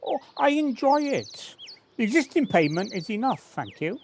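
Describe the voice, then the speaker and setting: A woman's voice: fairly high pitch, sing-song, moderate pace, a little mellow. A woman playing a modest, dutiful male gardener, hesitant and politely evasive, in an amateur audio drama. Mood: polite, reticent. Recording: faint noise, home-recorded on personal device.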